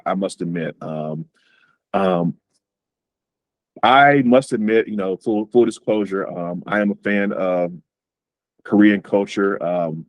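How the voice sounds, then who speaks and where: Man, interview host, low voice, dry sound, fillers and restarts. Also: no room sound. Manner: repeats words, many fillers. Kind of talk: casual interview.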